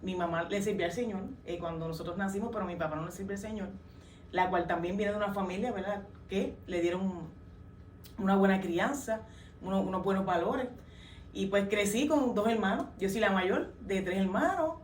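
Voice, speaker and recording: A woman's voice: mid-range voice, a little fast, a little hiss or hum. A young adult woman from Puerto Rico with a Puerto Rican accent, sharing her testimony as an interview guest, earnest and full of hesitant fillers. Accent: Puerto Rican Spanish. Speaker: guest, worship singer. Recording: roomy, distant mic, faint ambience.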